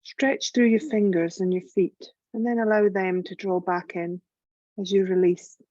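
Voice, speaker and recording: A woman leading a guided yoga nidra meditation in a gentle, calm, measured voice with long pauses. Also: mid-range voice, dry recording, muffled recording. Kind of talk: slow guided relaxation meditation narration.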